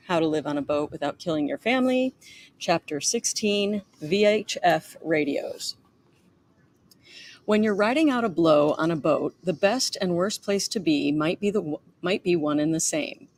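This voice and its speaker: A woman's voice: fairly low pitch, expressive, normal speed, a little mellow. A middle-aged woman reading her own memoir aloud as its author, in a storytelling manner with the occasional stumble.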